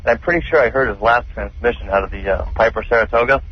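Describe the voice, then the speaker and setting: A man's voice: medium pitch, fast, slightly rough. A man calling in to a radio show and chatting casually. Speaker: radio caller. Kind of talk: casual call-in chat. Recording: dull lo-fi call-in line.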